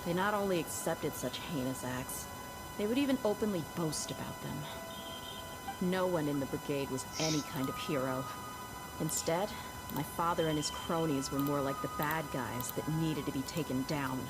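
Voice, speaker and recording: A woman playing a loyal, frank young desert mercenary in a video game, with loud music behind her. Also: low voice, moderate pace, natural voice, low-quality recording. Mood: serious, resolute.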